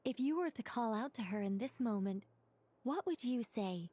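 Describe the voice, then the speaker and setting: A woman's voice: fairly high pitch, animated, normal speed, dark tone, a little hiss or hum, lightly acted. A woman voicing a knowing angel mage in a video game, chatty and explanatory while trying to stay solemn. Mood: calm, encouraging. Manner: explanatory, rambling, self-correcting.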